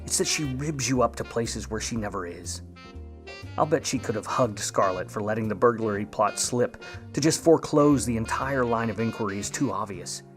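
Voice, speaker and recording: A man acts a world-weary private eye from a 1950 radio noir. His low voice is sardonic and grudging as he narrates, with music playing underneath. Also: normal speed, natural voice. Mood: musing, wry. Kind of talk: old-time radio noir narration and acting.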